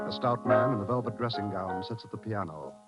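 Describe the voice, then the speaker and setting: An actor working as a formal, dramatic announcer for a 1940s radio thriller. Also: male voice, fairly low pitch, fairly quick, close to an everyday voice. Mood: hushed, suspenseful. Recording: dull old radio recording, loud music.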